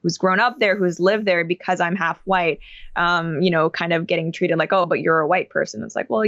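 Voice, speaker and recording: A woman's voice: lowish voice, fairly bright tone, no room sound, lo-fi sound. A young woman as an interview guest, talking in a thoughtful, casual way with many fillers and restarts.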